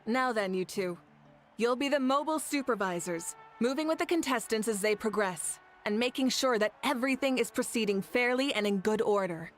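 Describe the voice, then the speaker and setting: Woman acting high-voiced, commanding warrior leader. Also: animated, a little fast, faint music behind, lightly acted. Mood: composed, instructive. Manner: formal, oratorical. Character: commanding, rousing female leader addressing her warriors. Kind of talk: video game voice acting.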